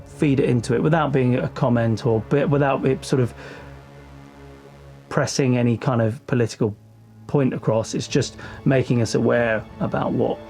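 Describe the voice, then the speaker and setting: A man's voice: mid-range voice, fairly even intonation, normal speed, gravelly, a little mellow. A man speaking reflectively in an interview, feeling his way through his phrases as a cast member. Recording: music behind the voice.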